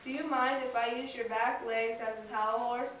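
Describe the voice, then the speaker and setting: A woman's voice: fairly high pitch, steady tone, normal speed, fairly bright tone, natural voice. Young girl as a fussy rabbit in an echoey hall. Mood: brisk. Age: child, about ten or eleven. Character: brisk, fussy, polite gentleman rabbit. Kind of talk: staged readers theater performance. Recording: distant echoey room, noisy recording.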